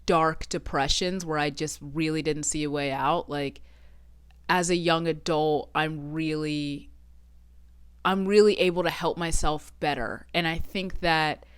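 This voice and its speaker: A woman's voice: deep voice, clean tone, no echo. A woman in her twenties from Texas, chatting casually and swearing often as she vlogs from her bedroom. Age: 20s. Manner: chatty, frequent swearing, self-joking. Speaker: vlogger. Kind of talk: casual solo podcast chat.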